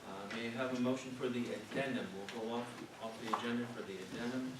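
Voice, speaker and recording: A man's voice: mid-range voice, fairly even intonation, quick pace. Man chairing a formal meeting, very echoey, noisy. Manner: formulaic, procedural delivery. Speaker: school board meeting chair. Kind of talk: formal procedural meeting speech. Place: meeting room. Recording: noisy echoey meeting room, distant microphone.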